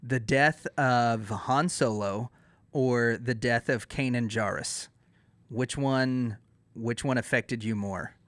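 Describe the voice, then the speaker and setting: A man's voice: mid-range voice, slow, a bit bright, dry recording. A man hosting a podcast in casual, joking banter. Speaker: podcast host.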